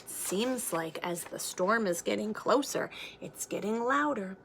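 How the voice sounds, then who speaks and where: Female storybook reader, teacherly, expressive, unhurried pace, medium pitch. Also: a little hiss or hum. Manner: expressive, dramatic sound words and hushed moments. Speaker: teacher reading aloud. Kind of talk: children's picture book read-aloud.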